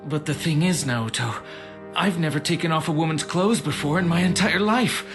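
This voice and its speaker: An actor playing an earnest, awkward young rebel officer in an anime audio drama, with a hesitant, sincere, easily flustered delivery. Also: male voice, fairly high pitch, moderate pace, over music, natural voice. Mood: confiding, earnest. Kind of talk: anime dub audio drama acting, confiding monologue.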